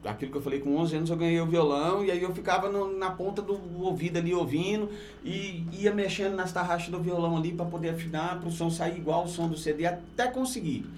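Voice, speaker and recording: A man's voice: high voice, fast, darkish tone, slight background noise. A Brazilian man speaking colloquially as a musician guest on a radio show, telling anecdotes in a casual, joking way.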